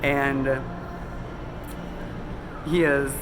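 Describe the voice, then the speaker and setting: A man's voice: medium pitch, flat delivery, extremely slow, background noise. A man hosting a conspiracy video, talking casually with lots of ums, a little sick and losing his voice. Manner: loose, chatty, many ums and self-corrections. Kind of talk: casual rambling talk to camera. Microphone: phone camera.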